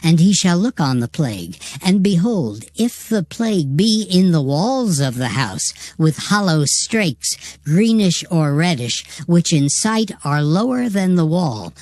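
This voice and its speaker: A man narrating scripture from a script in a measured, solemn manner. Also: a little high, sing-song, darkish tone, dry recording.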